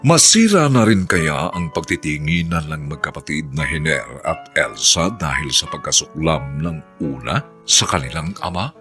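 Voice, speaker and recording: A man's voice: a bass voice, expressive, normal speed, bright, forceful tone, faint music behind. A man with a Filipino accent giving solemn, theatrical scripted narration as a radio drama announcer.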